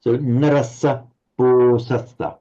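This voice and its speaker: A man's voice: medium pitch, level tone, slow, mellow. A man teaching a Pali class online, explaining patiently and often stumbling over repeated words. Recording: slightly muffled audio.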